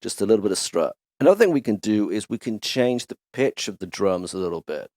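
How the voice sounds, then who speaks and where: A man hosting a casual music production tutorial, chatty and enthusiastic as he walks through software instruments in his home studio. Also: on the low side, a little fast. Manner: relaxed, chatty, enthusiastic demonstrator. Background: instrument demo music.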